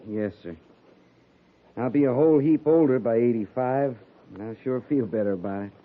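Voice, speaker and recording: A man's voice: medium pitch, moderate pace, natural voice. An American man playing a loyal, grumbling, plain-spoken cavalry sergeant in a 1950s radio drama, folksy and deferential. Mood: respectful, hesitant. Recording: noisy, tinny 1950s radio recording.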